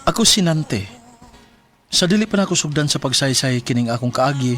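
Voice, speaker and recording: A man's voice: mid-range voice, lively intonation, normal speed, a bit bright, soft background music, natural voice. A man acting an earnest, devoted young suitor in a radio drama, with a Cebuano Filipino accent. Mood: sincere, formal.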